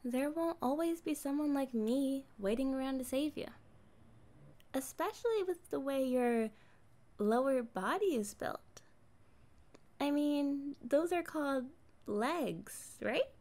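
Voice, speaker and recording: A woman's voice: sits high, heavily acted. A woman voicing a kind, naive young mermaid in an ASMR roleplay, playful and chatty as she speaks straight to the listener. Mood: curious, playful. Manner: playful, chatty, expressive, drawn-out phrasing.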